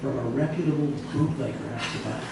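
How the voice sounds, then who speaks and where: A man reading a humorous true story aloud as a storyteller, expressive, with some hesitant repeated words. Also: mid-range voice, fast. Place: echoey indoor bistro. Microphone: distant microphone in the room. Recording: roomy, echoey, noisy, distant mic.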